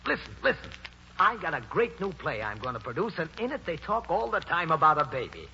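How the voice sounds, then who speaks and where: An actor playing a brash, excitable theatrical producer in a 1940s radio play. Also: male voice, a little high, a little fast, full character voice. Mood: eager, excited. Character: brash, excitable theatrical producer, pushing his latest show. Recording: muffled, noisy 1940s radio broadcast.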